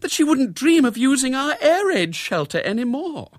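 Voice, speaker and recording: A fretful storybook mother , acted by a man. Her voice is high and plaintive, moaning her worries with wide rises and falls. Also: huge pitch swings, moderate pace, no room sound, clean studio sound. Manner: groaning, plaintive. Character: anxious, fretful middle-class wife and mother, moaning.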